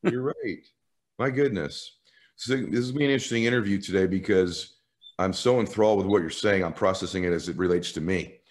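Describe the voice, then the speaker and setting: Lower-pitched male interviewer in his fifties. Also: a little fast. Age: 50s. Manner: earnest, effusive, personal reflection. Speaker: interview host. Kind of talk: conversational interview.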